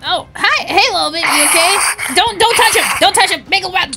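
A high-voiced woman plays out a game roleplay with bright, swooping delivery. She is bossy and excitable and often shouts, with music faintly behind her. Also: a little fast. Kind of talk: improvised Minecraft roleplay. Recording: background music.

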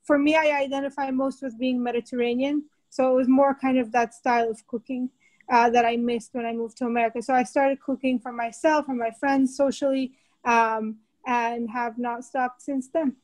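Female interview guest from Egypt, high-pitched and level, answering reflectively over a video call. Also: level tone, normal speed, a little mellow. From Cairo, Egypt. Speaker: guest, food artist. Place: indoors at home, on a video call. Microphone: computer or phone mic on a video call.

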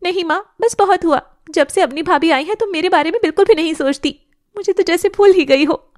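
A woman voicing a jealous, sulky young daughter who whines and complains in an animated family drama. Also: very high-pitched, brisk, no room sound, clean studio sound, pitched above the performer's own voice. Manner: whining, complaining.

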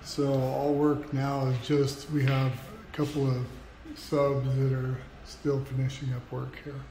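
A man's voice: medium pitch, completely flat delivery, slow. A man walking through his renovated house, explaining the work in a casual, matter-of-fact way. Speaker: homeowner showing his renovation. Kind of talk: casual walk-through narration. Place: house under renovation, in and out of doors. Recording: echoey rooms with loud background noise.

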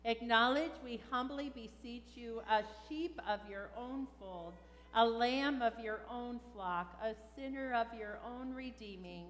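A woman pastor leading a funeral liturgy in a measured, solemn way in a large church. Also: on the high side, fairly bright tone. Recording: echoey church hall with background noise.

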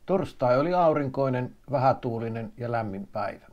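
A man's voice: medium pitch, expressive, lo-fi sound. A man narrating a fishing trip video in a scripted style.

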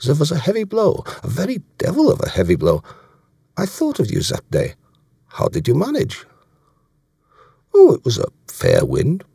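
A man's voice: on the high side, wildly swooping pitch, moderate pace, creaky, pauses often, mellow, slightly rough, no room sound, clean studio sound, pitched above the performer's own voice, full character voice. A man voicing an earnest, awkward English yachtsman in an audiobook, answering in a short, dogged, defensive way. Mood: uneasy, offhand. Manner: short, defensive, offhand replies.

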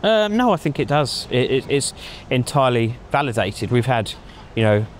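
A middle-aged man giving measured opinions in a casual outdoor chat, thinking aloud with frequent ums, on a lapel mic. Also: mid-range voice, very animated, slight background noise. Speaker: pundit. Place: outdoors in a racing paddock at night. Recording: faint outdoor street ambience.

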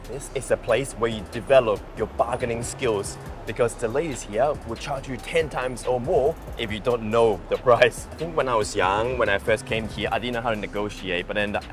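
A young man from Hong Kong, a native Cantonese speaker, narrating a relaxed travel vlog outdoors on busy city streets. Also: mid-range voice, moderate pace, few pauses, over music. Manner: relaxed, explanatory, chatty. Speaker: travel vlogger.

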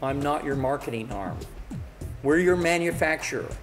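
An older man, a chef and business owner, giving a folksy, salesy promotional pitch to camera. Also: normal speed, long reverb tail, music in the background. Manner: folksy, salesy, plain-spoken. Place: commercial kitchen and office.

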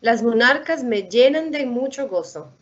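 Woman, storybook reader for small children. Highish, animated delivery, muffled video-call sound. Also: moderate pace. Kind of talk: children's picture book read-aloud in Spanish.

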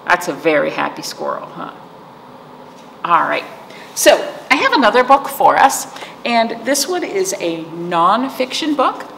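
A woman's voice: fairly low pitch, sing-song, unhurried, creaky, fairly bright tone. A middle-aged woman leading storytime for young children, explaining simply and checking in with questions. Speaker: children's librarian hosting virtual storytime. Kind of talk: storytime for young children, rhymes and read-aloud. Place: small indoor library room, seated. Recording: echoey room with background noise.

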